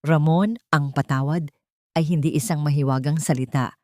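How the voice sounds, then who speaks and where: A woman voicing a wounded young pregnant wife in an audio drama, soft but cold, resolute and final. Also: low voice, steady tone, normal speed, dry recording, clean studio sound, lightly acted. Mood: cold, defiant.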